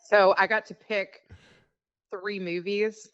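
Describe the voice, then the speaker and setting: A woman's voice: mid-range voice, flat delivery, takes it slowly, fairly bright tone, clean tone, no echo. An American woman in middle age, a podcast guest talking casually and chattily over the phone. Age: 40s to 50s. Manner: chatty, informal. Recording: muffled phone call, dry.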